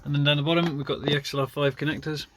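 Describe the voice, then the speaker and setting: A man's voice: fairly high pitch, level tone, very fast. Casual man demonstrating, noisy recording. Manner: informal, off-the-cuff, self-deprecating. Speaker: car hobbyist presenting a DIY video. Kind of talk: casual hands-on demonstration vlog.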